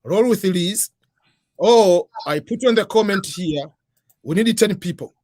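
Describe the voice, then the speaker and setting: A man hosting a livestream and answering viewer questions in a relaxed, rambling way. His voice sits a bit high for a man. He keeps adding "whatever" as he talks, and the sound is very dry and close to the mic. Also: a little slow, no echo. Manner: informal, peppered with "uh" and "whatever". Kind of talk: casual advice chat.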